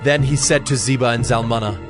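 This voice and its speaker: A man narrating scripture from a script in a measured, solemn manner. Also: mid-range voice, over music.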